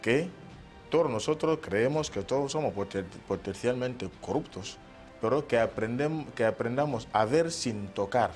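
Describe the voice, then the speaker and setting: A man from Equatorial Guinea speaking as a guest on a studio panel, giving measured advice and quoting proverbs. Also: mid-range voice, expressive, a little mellow, soft background music.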